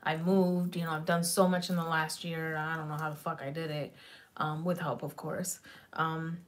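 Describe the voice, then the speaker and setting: A woman in her thirties chatting loosely on a beauty livestream, swearing freely, dropping in Spanish words, her slightly raspy voice going in and out. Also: low voice, little pitch movement, moderate pace. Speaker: beauty vlogger. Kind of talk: rambling casual livestream chat. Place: small home beauty room, indoors.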